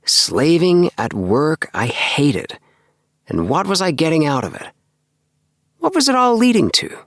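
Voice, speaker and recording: A man voicing an excitable, earnest young dreamer in an audiobook, with an emphatic, self-satisfied manner. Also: a little high, unhurried, no room sound, clean studio sound, voice pushed up, exaggerated voice. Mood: exasperated, bored. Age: young adult. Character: excitable young dreamer, eager, earnest, obsessed with house plans. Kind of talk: acted audiobook dialogue.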